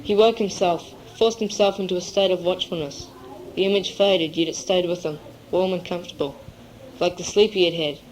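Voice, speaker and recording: A teenager reading scripted third-person literary narration in a measured way on an amateur cassette recording. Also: mid-range voice, steady tone, darkish tone. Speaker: narrator in a school drama. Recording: noisy amateur 1980s cassette tape.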